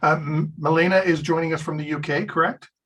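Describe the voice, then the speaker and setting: A male podcast host with a husky, slightly raspy voice pitched a little high. He welcomes guests in a friendly, upbeat way. The sound is that of a video call mic. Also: steady tone, a little fast, a bit bright, poor audio. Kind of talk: podcast hosting.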